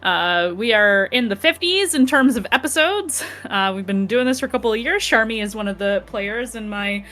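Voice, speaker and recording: A woman's voice: on the high side, animated, normal speed, hardly any pauses, fairly bright tone. A woman, a guest streamer, talking in a chatty way with many fillers. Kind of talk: casual stream chat.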